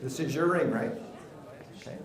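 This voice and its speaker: A man presenting a live seminar, joking with the audience and calling up volunteers in an energetic way. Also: medium pitch, normal speed. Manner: energetic, joking, drives the crowd. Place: large hall with live audience. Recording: echoey hall with crowd noise.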